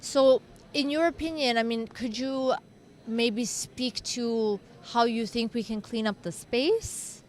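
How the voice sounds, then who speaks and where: A woman's voice: fairly high pitch, fairly even intonation, takes it slowly. A woman hosting an interview, agreeing with her guest and asking follow-up questions. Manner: affirming, asks questions. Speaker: interview host. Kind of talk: conversational interview.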